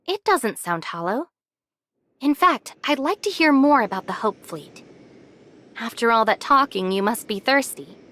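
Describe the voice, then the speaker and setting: A woman's voice: fairly high pitch, expressive, a little slow, fairly bright tone. An actress playing a tactful, guarded young woman in an anime game, speaking expressively and diplomatically. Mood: friendly. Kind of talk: English anime game voice acting.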